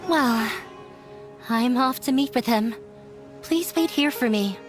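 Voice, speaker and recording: A woman's voice: on the high side, slow, music in the background. A woman playing a proud, determined young woman in an anime-style video game, speaking firmly and earnestly. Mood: confident. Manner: firm, earnest.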